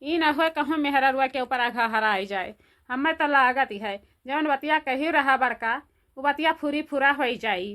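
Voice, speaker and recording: A woman as a scolding, high-pitched village mother-in-law. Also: normal speed, a bit bright. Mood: alarmed, indignant. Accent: Awadhi. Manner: scolding, repetitive rhetorical questions. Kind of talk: comedy cartoon voice acting.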